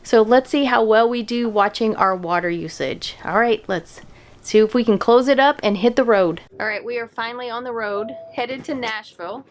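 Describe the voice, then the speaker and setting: A middle-aged woman chatting casually to camera as an RV travel vlogger, upbeat and quick to laugh. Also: on the high side. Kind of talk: casual vlog chat to camera.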